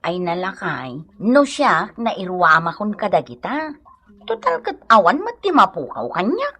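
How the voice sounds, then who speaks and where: A woman's voice: mid-range voice, wildly swooping pitch, a little slow, pushed and bright, exaggerated voice. An actress playing a patient, caring wife of an old man in an Ilocano radio comedy, with an Ilocano accent. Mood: reassuring.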